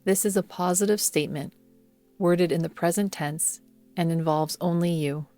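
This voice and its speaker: A woman guiding a yoga nidra meditation in a soothing, measured manner, leaving long pauses between phrases. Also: lowish voice, warm tone. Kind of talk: guided meditation narration. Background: background music.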